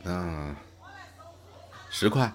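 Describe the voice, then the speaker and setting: A man playing a polite, reserved young working man in an audio drama, speaking in a terse, low-key way. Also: animated, extremely slow, soft background music, heavily acted. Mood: unsure. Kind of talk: Chinese audio drama dialogue.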